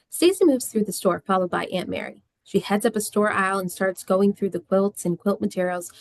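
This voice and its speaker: Creaky-voiced woman reading stage directions. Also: medium pitch, no room sound. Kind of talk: scripted narration.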